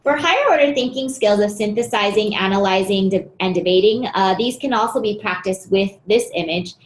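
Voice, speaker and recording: A woman presenting a teaching webinar, explaining activities steadily and often pausing on fillers. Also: medium pitch, reverberant.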